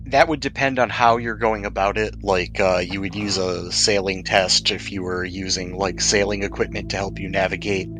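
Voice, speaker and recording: A man's voice: low-pitched, flat delivery, moderate pace, hardly any pauses, fairly bright tone, slight background noise. A man acting as game master, narrating scenes and explaining rules in a tabletop game.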